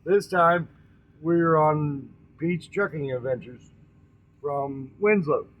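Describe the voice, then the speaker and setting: A man hosting an informal storytelling session, speaking in a folksy, halting way, often repeating and correcting his words and addressing listeners directly. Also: on the high side, low-quality recording. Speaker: storytelling host.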